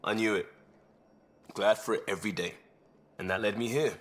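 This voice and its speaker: An easygoing crisis responder in a sci-fi video game, played by a man, with a fairly low voice. He is earnest underneath, but talks casually and bluntly, with a wry edge. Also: steady tone, moderate pace, fairly bright tone, close to an everyday voice. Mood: candid, proud. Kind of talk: video game cutscene dialogue.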